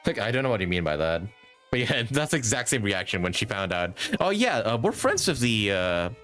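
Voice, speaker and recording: A man's voice: lowish voice, sing-song, brisk, fairly bright tone. A man live streaming a game with informal, chuckling commentary and some swearing. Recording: game music behind.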